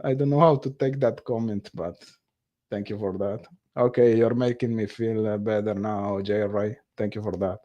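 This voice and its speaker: A man who is not a native English speaker, hosting a casual live stream, reading viewer comments aloud and pausing often to search for words. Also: mid-range voice, darkish tone. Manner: halting, searches for words, many fillers. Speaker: livestream host reading viewer chat.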